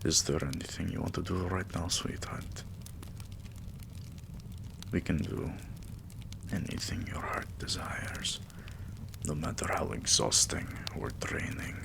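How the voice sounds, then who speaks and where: A man playing an adoring, weary vampire king in a romantic ASMR roleplay, murmuring tenderly and intimately in a breathy, gravelly half-whisper. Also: a bass voice, a little slow, pauses often, full character voice.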